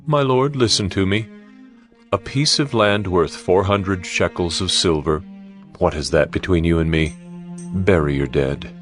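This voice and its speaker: An actor playing a dignified, courteous ancient landowner in a dramatized scripture recording, measured and deferential yet emphatic. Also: male voice, low voice, faint music behind. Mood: smooth, courteous. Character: courteous male Hittite landowner, generous and dignified. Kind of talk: dramatized scripture audio acting.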